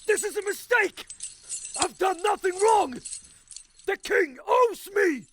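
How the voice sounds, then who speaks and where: A man playing a desperate, outraged soldier in a fairytale drama, forceful and indignant, in a reverberant space. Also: very high-pitched, expressive, takes it slowly, slight background noise, exaggerated voice. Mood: indignant protest. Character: desperate, defiant, outraged soldier facing arrest. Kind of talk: dramatic fairytale audio acting.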